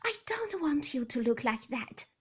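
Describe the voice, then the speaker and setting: A woman's voice: high-pitched, sing-song, measured pace, slightly rough, full character voice. A woman reading the lines of an anxious young wife in a pleading, emotional manner.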